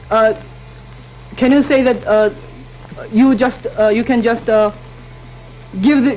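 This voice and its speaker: A man's voice: extremely high pitch, a little mellow, muffled recording. A teenage boy, a student debater in a panel debate who speaks hesitantly, with many fillers and restarts. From Korea. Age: teens.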